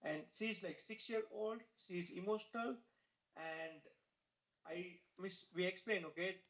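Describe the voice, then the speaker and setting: A man asking a question on a video call, speaking in halting, uneven phrasing. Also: sits high, fairly bright tone. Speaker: audience member asking a question. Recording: echoey dull video call audio.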